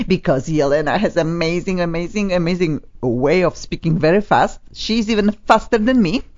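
Low, lively Polish-accented woman host. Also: slightly rough, highs cut off. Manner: enthusiastic, repeats words for emphasis. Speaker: talk show host. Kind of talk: casual studio talk show interview. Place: studio on a top floor.

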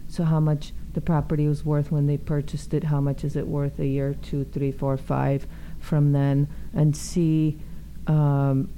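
A woman real estate agent speaking as a guest on a radio talk show, explaining things in a relaxed way with frequent ums. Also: low-pitched, a little slow, few pauses, a little hiss or hum, low-quality recording. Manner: relaxed, explanatory, filler-laden. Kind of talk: radio interview.

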